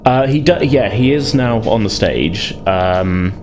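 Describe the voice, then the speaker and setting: A man with a fairly low voice runs a tabletop roleplaying game. He improvises his narration in hesitant fragments, circling and qualifying as he describes each scene. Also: expressive, measured pace, music in the background. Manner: hesitant, heavily hedged, piecemeal phrasing. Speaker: game master.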